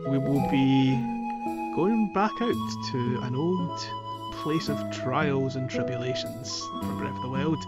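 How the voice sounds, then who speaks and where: A man's voice: mid-range voice, slow. Man chatting casually with music behind. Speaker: podcast co-host. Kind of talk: casual gaming podcast chat. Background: instrumental music.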